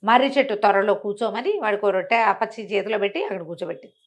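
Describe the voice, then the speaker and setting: A woman telling a bedtime story conversationally, in an expressive, explanatory way. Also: medium pitch, racing. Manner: expressive, explanatory, acts out characters' dialogue.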